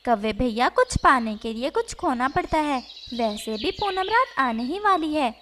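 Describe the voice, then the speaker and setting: A woman as a high-voiced, knowing bird friend. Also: expressive, measured pace, sharp tone, slight background noise, exaggerated voice. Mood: advising. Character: teasing bird friend giving the crow advice. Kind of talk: Hindi cartoon character acting.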